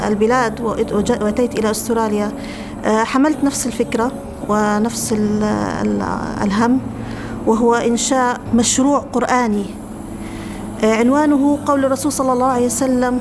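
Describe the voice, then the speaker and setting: A woman founder speaking earnestly, with halting restarts, in a documentary interview. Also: a little high, noisy background.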